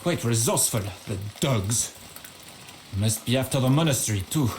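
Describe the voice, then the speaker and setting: An actor playing a combative, loyal knight in a video game, reporting in a brisk soldierly manner. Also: male voice, medium pitch, lively intonation, darkish tone, slight background noise, close to an everyday voice. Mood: grim report. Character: bold, combative male knight, loyal brother-in-arms. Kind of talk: dramatic video game cutscene acting.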